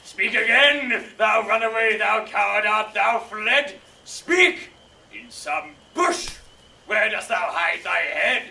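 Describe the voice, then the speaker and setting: Hot-headed young suitor, male actor, very sharp, forceful tone with gravelly edges, declamatory Shakespeare. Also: high voice, expressive, slow pace, exaggerated voice. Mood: furious. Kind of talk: Shakespearean audio drama. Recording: slightly distant from the mic.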